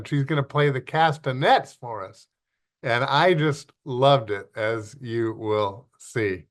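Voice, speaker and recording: A man with a medium-pitched voice hosts a television show in a casual, chatty style. His manner is folksy and enthusiastic, with frequent exclamations as he introduces what is coming up. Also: a bit bright. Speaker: TV show host.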